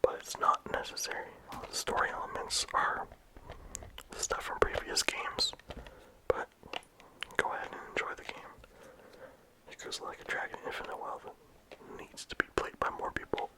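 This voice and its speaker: A game reviewer talking casually in a soft ASMR whisper, with frequent "like" and "um" fillers. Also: normal speed, pauses often, rough recording. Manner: soft unvoiced whisper throughout.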